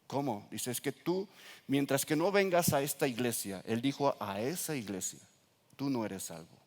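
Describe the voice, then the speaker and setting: Mexican pastor preaching in echoing hall. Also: male voice, medium pitch, animated, moderate pace, darkish tone. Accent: Mexican Spanish. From Nayarit coast, Mexico. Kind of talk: sermon. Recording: reverberant church hall.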